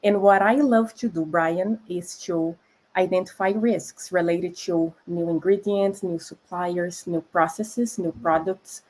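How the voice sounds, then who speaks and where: A woman's voice: fairly low pitch, steady tone, measured pace, a little mellow, rough recording. Brazilian-accented woman food scientist. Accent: Brazilian Portuguese. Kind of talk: explanatory conversation.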